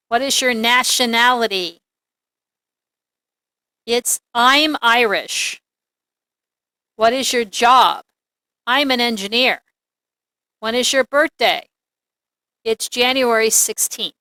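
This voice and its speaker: A woman teaching an English lesson, reading examples aloud in a deliberate, clearly enunciated, instructional way. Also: on the high side, pushed and bright, no echo.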